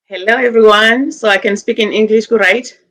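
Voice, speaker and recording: A middle-aged woman from Zimbabwe, a guest on a talk show, speaking earnestly with many pauses and fillers as she appeals for help. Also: on the high side, fairly even intonation, fairly bright tone, no room sound, poor audio. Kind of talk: call-in interview.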